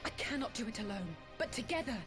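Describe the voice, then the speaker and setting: An actress playing a noble, resolute warrior woman in a video game, with formal, measured, commanding speech. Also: fairly high pitch, expressive, over music, natural voice. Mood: urgent resolve.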